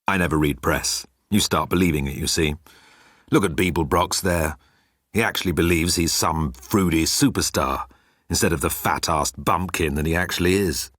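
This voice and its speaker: A man's voice: low voice, normal speed, a bit bright, dry recording, clean studio sound. A man voicing a world-weary immortal alien who insults people with disdain. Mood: bored disdain.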